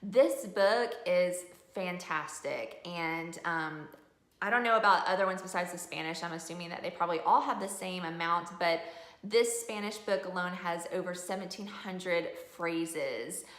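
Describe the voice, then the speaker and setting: A woman's voice: on the low side, measured pace, fairly bright tone. A middle-aged woman giving a casual, explanatory video review as a homeschooling mom. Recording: roomy indoor echo.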